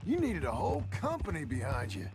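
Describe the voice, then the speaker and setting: An actor playing a male rancher doing bounty work, with a gravelly voice and a laconic, dry manner. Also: on the high side, huge pitch swings, fairly quick. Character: gruff male rancher turned bounty hunter.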